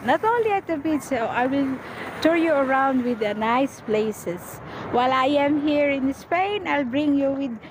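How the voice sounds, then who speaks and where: A woman with a Filipino accent and Tagalog as her first language hosts a casual outdoor live stream. Her voice is high and she speaks slowly, in halting, very grateful phrases. Clear background noise of the outdoors and traffic surrounds her. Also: animated. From Philippines. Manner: effusively thankful, halting English phrasing. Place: outdoors at a scenic spot.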